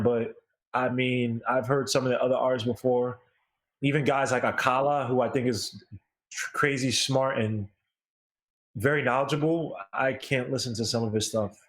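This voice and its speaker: An American man chatting casually as a music review co-host, animated, slangy and prone to swearing. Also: medium pitch.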